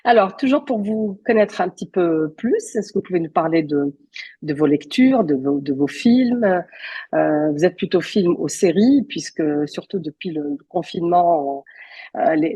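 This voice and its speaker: A woman's voice: lowish voice, dark tone, slightly rough, no echo. A woman hosting a talk show, asking a guest formal, courteous questions with frequent repetitions. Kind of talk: interviewing a guest.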